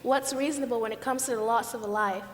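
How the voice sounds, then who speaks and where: A woman's voice: fairly high pitch, fairly even intonation, fast, natural voice. A woman playing a firm, precise, righteous juror in an amateur stage play. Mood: pointed. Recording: echoey hall with background noise.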